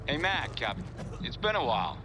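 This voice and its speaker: Hesitant young racer, lively pitch. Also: male voice, normal speed, background noise. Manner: hesitant, casual. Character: hesitant young male racer rallying an old friend. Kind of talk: video game cutscene acting.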